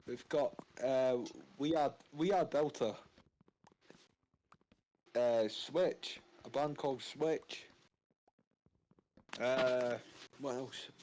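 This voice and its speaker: Laid-back Scottish rocker with swagger. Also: male voice, mid-range voice, a little mellow, slight background noise, rough recording. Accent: Fife Scottish with some Mancunian. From Scotland. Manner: laid-back, swearing, boastful. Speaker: rock band frontman. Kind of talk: casual interview.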